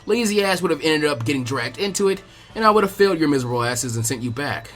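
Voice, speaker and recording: A man voicing a gruff, teasing adult ninja teacher with a laid-back, cocky, crude way of talking. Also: a little high, expressive, fast, soft background music, lightly acted. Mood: amused.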